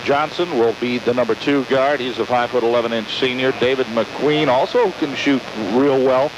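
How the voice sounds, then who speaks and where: A man doing live radio play-by-play commentary of a high school basketball game. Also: fairly even intonation, normal speed. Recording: noisy amateur recording of radio broadcast.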